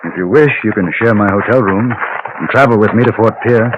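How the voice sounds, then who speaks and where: An actor playing a composed, steely gentleman gunfighter with polite, formal diction in an old-time radio Western. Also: on the low side, a little fast, lo-fi sound, close to an everyday voice. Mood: calm concern. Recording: noisy vintage radio recording.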